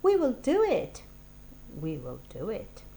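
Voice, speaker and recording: A woman's voice: medium pitch, huge pitch swings, slow pace, creaky, dark tone, slight background noise, exaggerated voice. A woman reading the part of a mild-mannered, cheerfully scheming male schoolteacher in a children's storybook. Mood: delighted.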